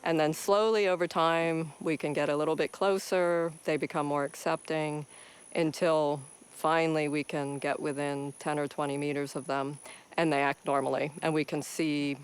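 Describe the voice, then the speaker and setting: A man's voice: on the high side, little pitch movement, normal speed, clean tone. A male gorilla researcher patiently explaining his fieldwork step by step in an interview outdoors.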